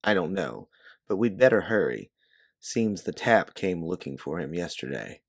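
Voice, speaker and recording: A man's voice: deep voice, sing-song, moderate pace, creaky, full character voice. A man voicing a young woman in a story, anxious, guarded and determined, speaking in careful, earnest phrases. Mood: composed, urgent. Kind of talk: storybook character dialogue.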